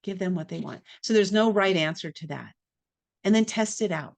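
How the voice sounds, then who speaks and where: A woman hosting an online networking event, brisk, upbeat and chatty as she gives tips and runs the open mic. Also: lowish voice, creaky. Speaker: event host. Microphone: video call.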